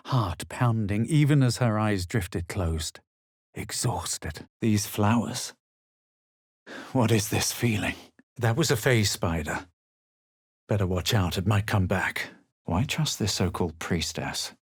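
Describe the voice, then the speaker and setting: A haughty fantasy game hero with a low, slightly husky voice. He speaks with measured drama and proud superiority, in a dry, close recording. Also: dry recording. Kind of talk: fantasy video game voice lines, narration and incantations.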